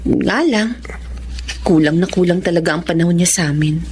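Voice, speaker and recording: An actress with a Filipino accent playing a forbearing wife and dutiful daughter in an audio drama. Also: female voice, lowish voice, moderate pace, a little hiss or hum, close to an everyday voice. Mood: cheerful, excusing. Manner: respectful, expressive. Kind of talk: Filipino radio-style drama acting.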